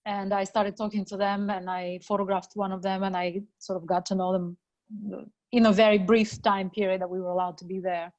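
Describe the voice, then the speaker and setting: Woman from azerbaijan, photographer, hesitant with fillers, mid-pitched. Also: flat delivery, fairly quick, a little mellow, lo-fi sound. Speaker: freelance photographer. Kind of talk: video call interview. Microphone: video call.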